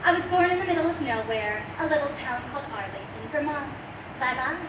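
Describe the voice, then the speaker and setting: Wisecracking young dancer , woman actor, high voice, echoey far-off stage. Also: expressive, a little fast, noisy background. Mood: joking.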